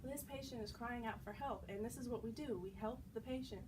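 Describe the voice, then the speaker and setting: A woman's voice: a little high, fast talker, natural voice. A woman acting an earnest angel nurse in an amateur skit, lecturing firmly as a voice of conscience. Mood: firm reproach. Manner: firm, lecturing. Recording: distant microphone, clear background noise.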